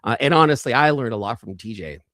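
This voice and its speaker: A man's voice: medium pitch, lively intonation, quick pace, a bit bright, no echo, clean studio sound. A man hosting a casual livestream, chatting with viewers in a rambling, conversational way and often repeating his phrases. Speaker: livestream host and toy collector. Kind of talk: casual live chat, reading viewer comments.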